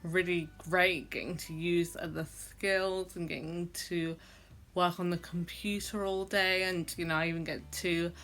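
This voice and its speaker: A woman's voice: lowish voice, level tone, a little slow, fairly bright tone. A young adult woman who stammers, talking reflectively to camera in a personal vlog, with frequent fillers and repeated sounds. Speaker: vlogger.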